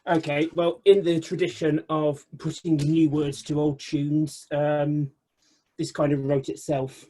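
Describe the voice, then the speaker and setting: A man's voice: a little high, little pitch movement, unhurried, dark tone, faint music behind. A man at a folk club's online session, talking in a hesitant, stumbling way before he sings, heard through a home video call. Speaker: folk club singer. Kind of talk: casual chat and unaccompanied folk singing. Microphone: computer mic on a video call.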